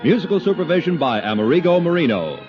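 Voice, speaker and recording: Old radio announcer guy, lively formal delivery, music under it, muffled sound. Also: fairly high pitch, quick pace.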